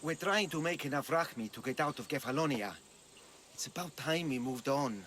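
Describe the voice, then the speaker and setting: An actor playing an eager, scheming merchant in a video game, with a Greek accent and a persuasive manner. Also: male voice, on the high side, expressive, moderate pace, a bit bright, a little hiss or hum. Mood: eager, teasing. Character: eager, scheming male vegetable merchant desperate to leave. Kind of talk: video game cutscene acting. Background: music.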